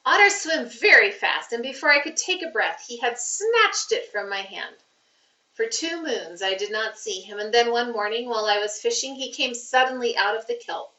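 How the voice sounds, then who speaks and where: Woman, higher-pitched voice, expressive storybook reading, roomy sound, deliberate phrasing. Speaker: teacher reading aloud. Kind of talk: read-aloud of a children's novel. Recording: roomy, muffled, distant microphone.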